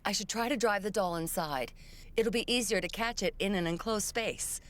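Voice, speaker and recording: A woman's voice: mid-range voice, moderate pace, fairly bright tone, a little hiss or hum, close to an everyday voice. A woman playing an alert, resourceful young adventurer who thinks aloud in a video game. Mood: resolute.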